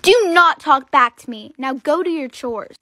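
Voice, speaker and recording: A woman acting a bossy, high-voiced stepmother. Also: expressive, normal speed, pushed and bright, dry recording, studio quality. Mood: scolding. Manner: stern, demanding.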